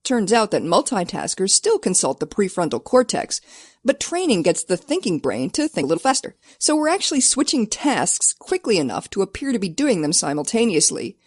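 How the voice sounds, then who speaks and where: A woman's voice: medium pitch, sing-song, brisk, a little mellow, slightly rough, no room sound, studio quality. A woman reading scripted science narration in a conversational, rhetorical way.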